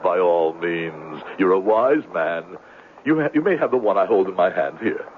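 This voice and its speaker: A man's voice: low-pitched, expressive, normal speed. Pompous, swooping old-radio con man. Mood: grandly persuasive. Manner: pompous, florid, theatrical. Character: grandiloquent, down-on-his-luck male con man posing as doctor. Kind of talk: 1970s radio drama acting. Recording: tinny, noisy 1970s radio recording.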